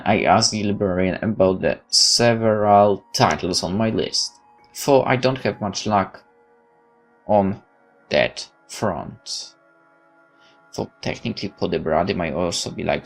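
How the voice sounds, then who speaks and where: A man's voice: low voice, takes it slowly, vocal fry, fairly bright tone, soft background music. A man reading a visual novel aloud as a let's play host, with informal muttered asides and interruptions to himself.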